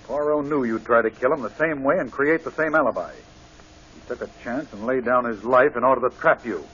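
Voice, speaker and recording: An actor playing an earnest, loyal police inspector in an old radio drama, speaking in a blunt, emphatic way. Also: male voice, mid-range voice, a little fast, fairly bright tone, husky. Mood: stern, bitter. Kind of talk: 1940s radio drama acting. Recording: dull old radio broadcast.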